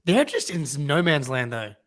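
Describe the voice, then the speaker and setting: Easygoing young guy, slightly higher voice. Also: sing-song, moderate pace, fairly bright tone. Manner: easygoing, agrees a lot, fillers like "yeah, dude" and "um". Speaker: fan channel co-host. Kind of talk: casual live draft reaction chat.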